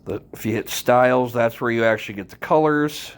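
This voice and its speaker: A man narrating an unscripted software tutorial, informally walking the listener through it step by step. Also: medium pitch, fairly quick, smooth. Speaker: tutorial presenter.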